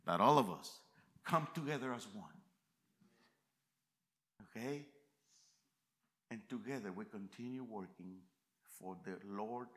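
A man from America, preaching a sermon in a church, emphatic and swinging between quiet reflection and loud fervent peaks. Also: fairly low pitch. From Central America. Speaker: visiting preacher.

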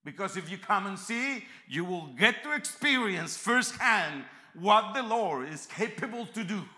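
Bright, fervent preacher. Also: male voice, sits high, expressive, measured pace. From Central America. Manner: emphatic, swings between quiet reflection and loud fervent peaks. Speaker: visiting preacher. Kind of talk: sermon. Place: church.

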